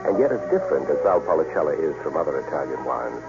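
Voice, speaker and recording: Very deep man announcing over music. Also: very animated, fast talker. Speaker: commercial announcer. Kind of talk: scripted narration for a radio ad. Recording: dull 1970s radio broadcast. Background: loud music.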